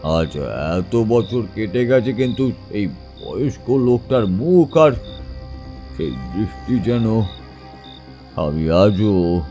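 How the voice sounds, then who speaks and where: A man performs a haunted male witness in a horror audio drama. His delivery swings widely in pitch and breaks into halting, dread-filled pauses. Long reverb surrounds him, with music underneath. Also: very animated, mellow. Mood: grim, haunted. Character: Abani, haunted man retelling a terrifying village ghost memory.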